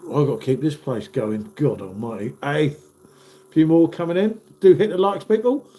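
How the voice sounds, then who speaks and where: A chatty man in his fifties hosts a live stream and reads out viewers' comments. His voice sits a little high, and a cold leaves him blocked up and nasal. He keeps calling everyone "mate". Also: normal speed, mellow, a little hiss or hum. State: stuffy nose from a cold.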